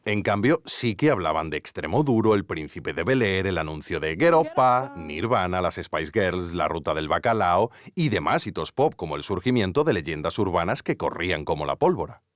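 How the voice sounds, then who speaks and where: Male investigative narrator, Spain accent, low register, animated delivery for audio documentary. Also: moderate pace, few pauses, no echo. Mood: measured, wry. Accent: Spanish from Spain. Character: male investigative journalist narrating his inquiry.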